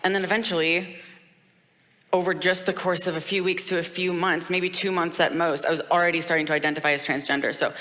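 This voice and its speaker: A woman's voice: fairly low pitch, fairly even intonation, a little fast, a bit bright. Matter-of-fact woman in a reverberant space. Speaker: interviewee. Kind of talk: personal testimony.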